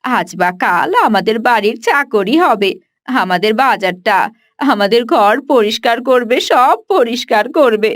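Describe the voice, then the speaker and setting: A woman's voice: a little high, unhurried, natural voice. A woman voicing a greedy, sneering village wife in a cartoon moral story. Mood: gloating. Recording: music clearly behind the voice.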